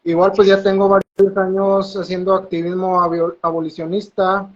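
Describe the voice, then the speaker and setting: A man's voice: high voice, flat delivery, normal speed, lo-fi sound. A man who writes a blog, introducing himself hesitantly with fillers on an online video call. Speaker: blogger and activist. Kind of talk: casual online talk.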